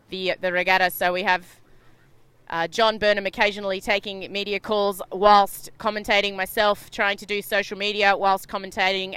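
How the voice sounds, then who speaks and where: A woman from Sydney with a loud, bubbly, chatty manner, giving live commentary on a sailing race from a media boat. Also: mid-range voice, clear, resonant tone, no room sound. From Australia.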